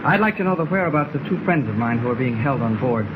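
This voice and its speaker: An actor playing a calm, modest heroic reporter in a 1940s radio drama, with an unruffled, understated delivery. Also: male voice, mid-range voice, quick pace, natural voice. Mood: calm, businesslike. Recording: muffled, very noisy 1940s radio recording.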